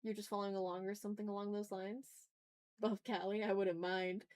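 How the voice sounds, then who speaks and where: A woman's voice: medium pitch, little pitch movement, fairly quick, darkish tone. A woman chatting casually as an art livestream host, informal and thinking aloud as she draws. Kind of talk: casual livestream chat while drawing.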